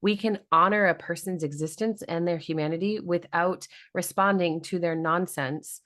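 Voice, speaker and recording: A woman with a notably low voice hosts a casual interview podcast. She thinks aloud and builds long, reflective questions for her guest. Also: fairly even intonation, normal speed, a bit bright. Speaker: podcast host.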